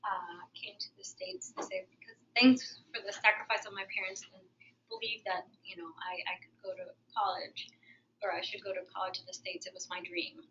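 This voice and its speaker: A woman's voice: mid-range voice, steady tone, a little fast, pushed and bright, slight background noise. A woman from Puerto Rico with a Puerto Rican accent, introducing herself hesitantly with a lot of fillers. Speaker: workshop participant. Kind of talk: self-introduction. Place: meeting room. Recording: dull lo-fi room recording.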